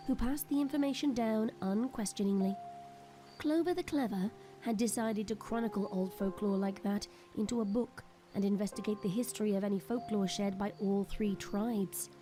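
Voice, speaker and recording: A woman's voice: medium pitch, warm tone. A woman reading scripted narration for an audio drama. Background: faint background music.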